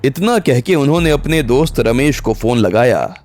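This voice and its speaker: A man narrates a scripted audio story in a medium-pitched voice. Soft music plays behind his narration. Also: lively intonation, moderate pace. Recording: background music under the voice.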